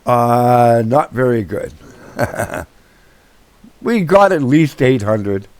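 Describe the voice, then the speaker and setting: A man with a hoarse voice who says he is losing it, chatting casually over gameplay as the host of his own channel, rambling with many fillers and restarts. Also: medium pitch, big pitch swings, very slow, dry recording.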